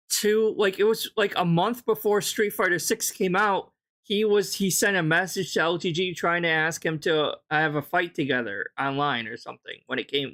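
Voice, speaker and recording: A man's voice: sits high, fairly bright tone. A man co-hosting a casual chat, blunt and mocking in how he talks. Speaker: co-host.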